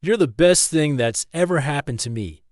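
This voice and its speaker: A man's voice: fairly high pitch, lively intonation, normal speed, darkish tone, no echo, close to an everyday voice. Man playing woman scientist, protective, earnest, worried, audiobook dialogue, dry sound. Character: protective, honest woman scientist, anxious and devoted.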